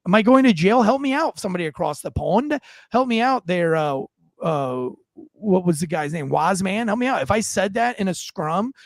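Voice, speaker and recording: A man's voice: high voice, lively intonation, normal speed, no room sound. A man on a podcast, ranting casually with lots of swearing and restarts. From United States. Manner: rambling, swearing, many restarts. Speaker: podcast co-host. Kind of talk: casual profane rant.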